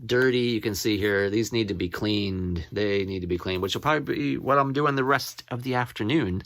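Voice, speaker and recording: A man chatting casually as he shows off his finds as a collector, rambling and halting, often repeating what he reads out. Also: on the low side, fairly bright tone, no echo. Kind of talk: casual show-and-tell to camera.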